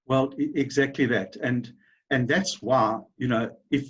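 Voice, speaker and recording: A man's voice: medium pitch, fairly even intonation, a little slow, fairly bright tone, husky, muffled recording. A middle-aged man with a South African accent, telling his story as a guest in an interview, rambling with many fillers and restarts. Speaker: business founder, guest.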